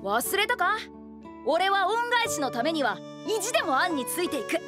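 A woman's voice: very high-pitched, sing-song, moderate pace. A woman voicing a tiny, hot-tempered and self-important boy fairy in an anime, brash and indignant. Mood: stubborn, proud. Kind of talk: exaggerated Japanese anime voice acting. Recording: background music under the dialogue.

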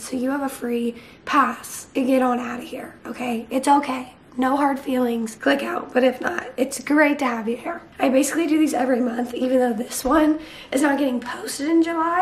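A young woman vlogging casually to camera from her bedroom about her reading, hoarse and losing her voice from a cold, chatty with lots of fillers. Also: sits high, reverberant, slight background noise. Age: young adult. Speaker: book vlogger. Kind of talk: casual vlog chat to camera. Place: small bright bedroom.

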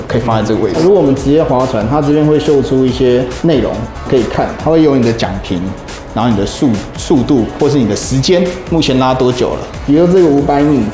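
Brisk man explaining gym equipment. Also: mid-range voice, warm tone. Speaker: fitness equipment instructor. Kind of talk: instructional demonstration. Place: small indoor office gym. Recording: roomy room with background music.

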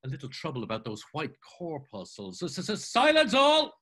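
A theatrical, mock-solemn young joker booms and preaches in jest, as an older man puts him on over a video call. His husky voice leaps high and drops low, bright with showy glee. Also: huge pitch swings, normal speed, full character voice. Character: mocking plump young man, theatrical jester. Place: home room, video call. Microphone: webcam on video call.

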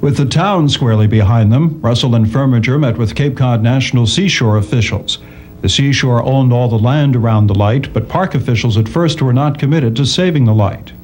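A man's voice: medium pitch, normal speed, darkish tone, slight background noise. A man reading scripted narration as a documentary narrator.

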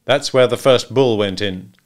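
A man voicing a gruff, unflappable male hunter who talks in terse, hearty, clipped phrases. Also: medium pitch, measured pace, natural voice. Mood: brisk, gruff.

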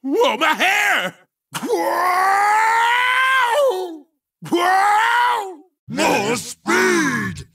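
A man voicing a manic, excitable chicken in a cartoon racing game, crowing out short exclamations. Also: extremely high pitch, extremely slow, creaky, long pauses, raised voice, background noise, exaggerated voice. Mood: manic excitement. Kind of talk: shouted video game racing barks.

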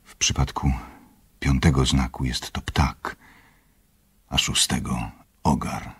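Very deep, husky scholarly doctor. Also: male voice, little pitch movement, slow, heavily acted. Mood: analytical. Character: scholarly, secretive doctor obsessed with power. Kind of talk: Polish radio drama acting.

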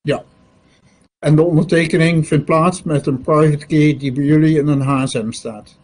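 An older man moderating a question session on a video call, putting brisk technical questions. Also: fairly high pitch, steady tone, darkish tone, poor audio. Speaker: meetup moderator. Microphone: webcam on video call.

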